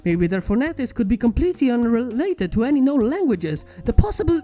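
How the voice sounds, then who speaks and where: A mellow, studious mare princess played by a woman, earnest and a bit skeptical. She speaks in precise, formal, inquisitive phrases, with music softly behind her. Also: on the high side, sing-song, a little fast. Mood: excited. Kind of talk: fan fiction character reading. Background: background music.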